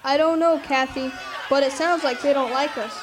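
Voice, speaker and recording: A woman's voice: high voice, fairly even intonation, moderate pace, a loud crowd, close to an everyday voice. A woman acting an orphan child who tells the story in a radio drama, in a folksy, wry way. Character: orphan child recounting the night of the raid. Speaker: narrator and actor in radio drama. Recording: live stage recording, faint noise.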